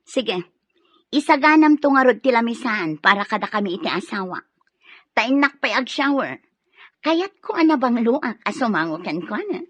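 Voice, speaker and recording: An actress playing a stern, scornful wife of the house in a radio drama, scolding and giving orders. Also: female voice, fairly high pitch, sing-song, moderate pace, fairly bright tone, no room sound, highs cut off. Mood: commanding. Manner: scolding, cutting, commanding.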